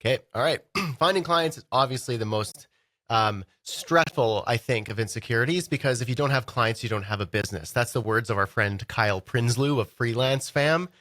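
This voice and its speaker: A man's voice: medium pitch, normal speed, fairly bright tone, dry recording. A man with a head cold, sounding congested, co-hosting a podcast in a studio and explaining ideas in a playful, casual way. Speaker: podcast co-host. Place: recording studio.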